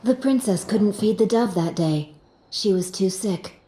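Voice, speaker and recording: A woman's voice: medium pitch, a little slow, warm tone, slight background noise, natural voice, low-quality recording. Serious young woman pilot voiced by an actress, faint ambience. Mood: quiet. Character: serious, reserved young female fighter pilot.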